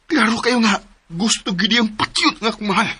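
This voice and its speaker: A man with a Cebuano accent voicing an emphatic young man in a radio drama, lovesick and possessive, with a husky voice. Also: sits high, a little fast, pushed and bright, full character voice. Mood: intense. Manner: emphatic, swings between pleading and bluster.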